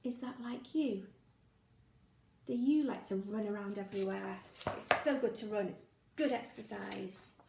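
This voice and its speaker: A woman teacher reading a picture story aloud to young children, playful and expressive, with sound effects and questions for the listener. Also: mid-range voice, a little slow, vocal fry, roomy sound, a little hiss or hum.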